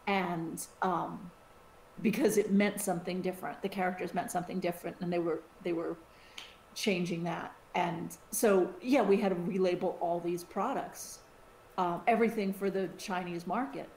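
A middle-aged woman from the United States, co-hosting a podcast in a casual, animated chat with frequent restarts and side remarks. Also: lowish voice, moderate pace. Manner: chatty, animated, with many restarts. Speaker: podcast co-host. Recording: roomy sound with faint hiss.

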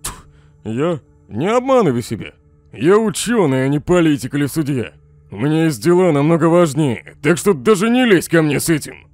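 A man's voice: mid-range voice, big pitch swings, moderate pace, warm tone, no echo, natural voice. A man voicing a snarky, irritable skeleton scientist in a comic dub, sardonic and dismissive. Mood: irritated. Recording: faint music behind the voice.

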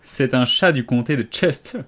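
A man voicing a brusque, violent, grumbling Duchess in a storybook, with a hoarse, abrupt delivery. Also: mid-range voice, animated, moderate pace, fairly bright tone. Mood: brusque, irritated. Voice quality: hoarse, gruff. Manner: abrupt, bellowing.

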